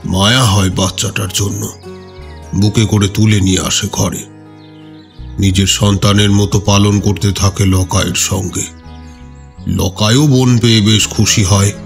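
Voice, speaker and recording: A man narrating a scripted horror story in a measured, literary manner. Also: lowish voice. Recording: music bed behind the voice.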